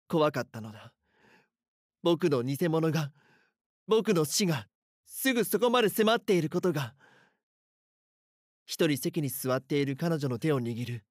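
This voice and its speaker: An actor playing a frightened schoolboy who narrates a horror audio drama in an earnest, dramatic way. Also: male voice, fairly high pitch, darkish tone, dry recording, clean studio sound. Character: frightened schoolboy haunted by his doppelganger. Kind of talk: first-person scripted horror narration.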